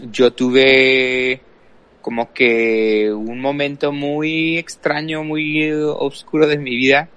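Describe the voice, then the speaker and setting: Man, middle-pitched, casual storyteller, pauses to think aloud, conversational. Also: little pitch movement, a bit bright, clear, resonant tone, highs cut off. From El Paso, Texas, USA. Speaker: podcast guest, worship leader.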